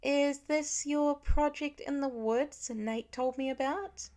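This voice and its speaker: A woman's voice: high voice, steady tone, slow pace, a little mellow, pitched above the performer's own voice. A woman voicing an angry, suspicious teenage daughter who demands answers in an audiobook story. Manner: accusing, indignant questioning. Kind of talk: acted audiobook dialogue.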